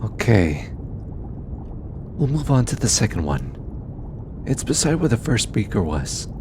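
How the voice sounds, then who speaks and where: A man playing a gentle, studious alien scientist in an intimate audio roleplay, soft-spoken and patient, hesitant when flustered. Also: on the low side, sing-song, takes it slowly, noisy background. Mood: calm, focused. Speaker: voice actor. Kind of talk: intimate scripted audio roleplay, addressing the listener.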